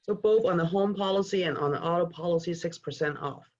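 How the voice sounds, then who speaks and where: A webinar host moderating an online question-and-answer session over a video call, asking brisk, conversational questions. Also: mid-range voice. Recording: dull lo-fi video-call audio.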